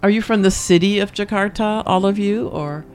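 A woman's voice: mid-range voice, normal speed, a little mellow, soft background music. A woman hosting a radio programme in a studio, interviewing guests in an enthusiastic, encouraging way. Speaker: radio show host.

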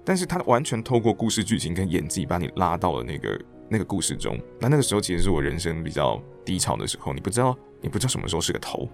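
A very low-voiced man with a Taiwanese Mandarin accent speaks as a guest in a casual interview. He explains things earnestly and reflectively, as if passing on advice. Faint music can be heard with his voice. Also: very animated, fast talker, gravelly, few pauses. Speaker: guest, stage director and actor.

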